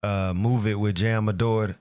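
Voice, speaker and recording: A man's voice: lowish voice, fairly even intonation, normal speed, darkish tone, smooth. A man, a guest rapper, talking casually about his upcoming music in easygoing, hesitant phrases. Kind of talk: casual chat.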